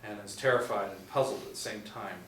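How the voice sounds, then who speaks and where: A man's voice: fairly low pitch, fairly even intonation, fairly quick, fairly bright tone. A man in his forties giving scripted narration, reading out screen directions. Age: 40s. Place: small indoor room, seated group. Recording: roomy, distant mic with faint noise.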